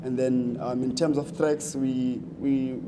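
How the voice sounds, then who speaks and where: A man's voice: mid-range voice, level tone, takes it slowly. A young man from the Eastern Cape with a South African accent, a student presenting his hackathon project on stage, hesitant with many ums and restarts. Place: conference stage. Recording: noisy live event audio.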